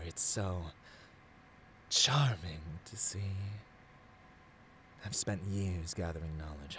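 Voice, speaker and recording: A man's voice: low voice, a little hiss or hum. A man playing a seductive, arrogant supervillain in a theatrical ASMR roleplay, silky and grandiose, slipping into whispers. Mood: coaxing, intimate. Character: seductive, arrogant male supervillain tempting a hero.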